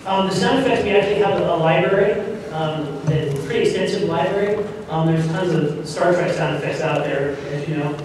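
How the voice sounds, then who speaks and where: A man with a fairly high voice gives an informal live presentation, instructing people as a director would. He is heard from a distance in a very reverberant, noisy hall. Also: brisk, hardly any pauses, darkish tone. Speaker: audio drama producer directing and presenting. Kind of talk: live convention presentation to an audience. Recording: echoey, noisy convention hall, distant mic.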